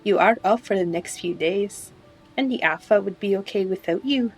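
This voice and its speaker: Female fantasy bartender, actress, mid-range voice, calm, polite, advising, for audio roleplay with light music. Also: mid-range voice, moderate pace, husky, close to an everyday voice, rough recording. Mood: gently prodding. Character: calm, helpful female tavern bartender and innkeeper.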